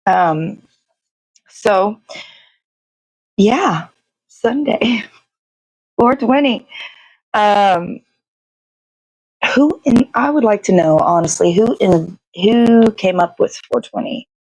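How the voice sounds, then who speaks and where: A woman's voice: medium pitch, animated, very slow, pauses often, darkish tone, no room sound, rough recording. A woman hosting a morning show with casual, laid-back, rambling chat.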